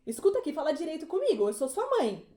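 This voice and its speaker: A bewildered, scolding mother, played by a woman in an audio drama. Her voice is very high and swoops dramatically, exasperated and fussy, in an echoey room. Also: big pitch swings, fast, dark tone.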